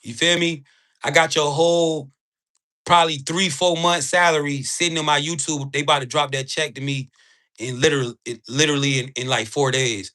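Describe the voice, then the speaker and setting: A man giving an informal, emphatic livestream sales pitch to his chat, talking in slang with frequent tag words. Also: steady tone, moderate pace, bright, forceful tone. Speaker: live streamer selling spiritual ebooks.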